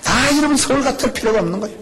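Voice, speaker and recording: A middle-aged male pastor with a Gyeongsang accent, preaching a lively, joking sermon full of rhetorical questions. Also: extremely high pitch, rapid-fire, crowd noise. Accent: Gyeongsang Korean. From rural South Korea. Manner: animated, jokey preaching with rhetorical questions and laughs.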